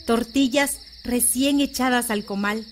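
Casting: actress as dutiful young rural Mexican daughter, high-pitched, gentle, audio fiction, faint nature ambience. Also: female voice, measured pace, a little hiss or hum, lightly acted. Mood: busy, resigned.

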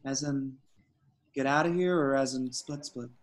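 A man's voice: mid-range voice, a little slow, lightly acted. A man playing a sheepish, hapless young slacker in a table read over a video call. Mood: awkward, defensive.

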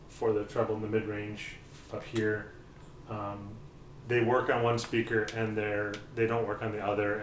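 A man's voice: on the low side, slight background noise. A middle-aged man casually reviewing audio gear, thinking aloud with many ums and uhs, seated in a small room with speakers. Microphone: far camera on a tripod. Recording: roomy sound from a distant mic.

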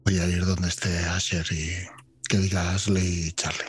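A man player describing his character's actions in a tabletop game, quiet and deliberate. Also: low-pitched, flat delivery, slight background noise. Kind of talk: in-character roleplay.

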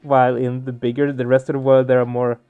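A man giving casual let's play commentary, halting as he searches for words. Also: medium pitch, flat delivery, clear, resonant tone. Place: small room at home, indoors. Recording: dull muffled sound, music behind. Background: game music.

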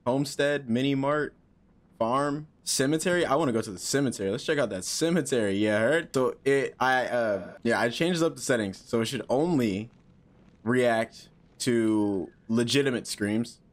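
A man's voice: medium pitch, normal speed. A young man in his twenties streaming a horror game on a headset mic, joking nervously and talking to himself. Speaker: gaming streamer. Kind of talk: casual horror game commentary.

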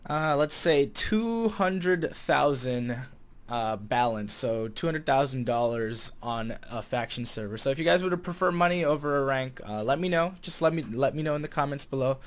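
A man's voice: mid-range voice, smooth. A twenty-year-old man with an American accent talks casually to camera as a vlogger, easygoing and a bit hesitant as he thinks aloud. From Chicago area, United States. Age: 20 years old. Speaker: vlogger and game server staff manager. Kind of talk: casual vlog chat to camera. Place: small room at home, indoors. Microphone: desk condenser mic with pop filter.

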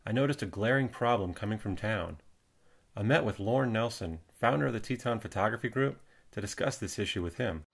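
A man's voice: lowish voice, gravelly, a bit bright. A man with an American accent reading scripted documentary narration. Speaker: narrator and nature photographer. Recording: music behind. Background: music.

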